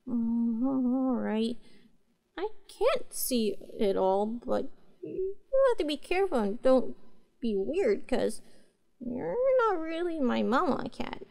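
An earnest, naive young cat-girl in an intimate roleplay drama. She talks slowly and haltingly in a childlike way. Her voice sits high, with a creaky edge. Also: expressive, a little mellow, heavily acted. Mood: reluctant, shy. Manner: hesitant, childlike, halting phrasing. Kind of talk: roleplay audio drama, speaking to the listener.

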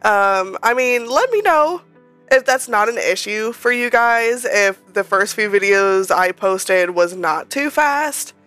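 A woman talks through a game build in a loose, rambling voice-over, sounding a little nasal as she gets over a cold. Her tone is smooth and clear at an ordinary middle pitch. Soft music plays quietly underneath. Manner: chatty and rambling, many ums and restarts. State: slightly nasal, recovering from a cold. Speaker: Sims speed-build creator. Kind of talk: casual voice-over commentary.

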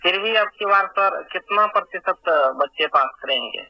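A man's voice: high-pitched, moderate pace, pushed and bright, no room sound. A man making a polite, deferential but persistent inquiry on a recorded phone call. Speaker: caller.

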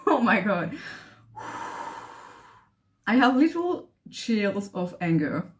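A woman's voice: mid-range voice, sing-song, slow. A young woman, not a native English speaker, gushing and swearing through her reaction at home, recorded by webcam. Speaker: trailer reactor. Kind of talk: excited reaction commentary. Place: living room with bookshelves. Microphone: webcam at desk, headphones on.

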